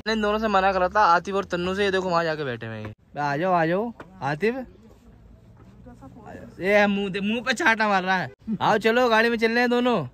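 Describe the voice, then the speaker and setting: A man's voice: high-pitched, a little fast, sharp tone. A man, chatting casually and slangily as a travel vlogger, often repeating his phrases. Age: 20s. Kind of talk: casual travel vlog chat. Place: outdoors on hillsides and in a car. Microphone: phone at arm's length. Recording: noisy outdoor phone recording with vehicle sounds.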